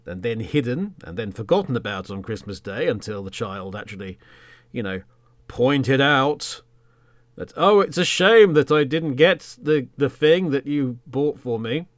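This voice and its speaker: An Englishman in his thirties, a podcast host telling a story in the third person as a monologue, theatrically, with emphatic words and phrases that trail off into a hush. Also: mid-range voice, expressive, measured pace, dry recording, studio quality.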